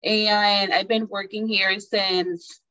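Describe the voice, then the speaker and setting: A woman's voice: mid-range voice, level tone, sharp tone, dry recording, highs cut off. A woman from El Salvador, a native Spanish speaker, speaking as a guest and searching for her words. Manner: slow, hesitant, searching for words, laughs shyly. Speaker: team member, guest.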